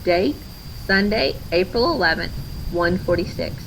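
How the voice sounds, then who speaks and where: Unhurried woman reading a children's story. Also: on the low side, animated, fairly bright tone, a little hiss or hum, low-quality recording. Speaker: storybook reader. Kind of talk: scripted children's story narration, read aloud.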